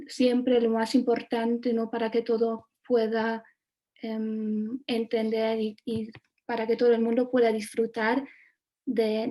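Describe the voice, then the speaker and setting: A woman moderating an online lecture and translating, measured and slightly hesitant. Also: fairly high pitch, dark tone. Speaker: interpreter and moderator.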